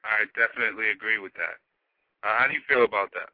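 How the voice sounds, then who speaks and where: A man hosting a casual radio interview, relaxed and agreeable, asking loose questions. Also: lowish voice, fast, no room sound, lo-fi sound. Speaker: radio host.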